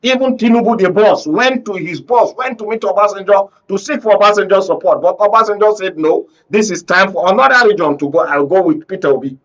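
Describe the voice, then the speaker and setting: Nigerian guy, high voice, argues hard on a political panel, audio kinda muffled. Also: brisk, hardly any pauses, a bit bright. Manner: emphatic, argumentative, with rhetorical questions. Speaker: guest political commentator. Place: radio and TV studio.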